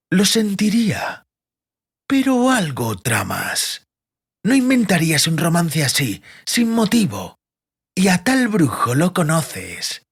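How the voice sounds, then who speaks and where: A man performs a sadistic male interrogator from a fantasy audiobook. He speaks slowly and deliberately, with lively rises and dips. The manner is coldly menacing, sneering and mocking. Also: a little high, slightly rough, full character voice. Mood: cold menace.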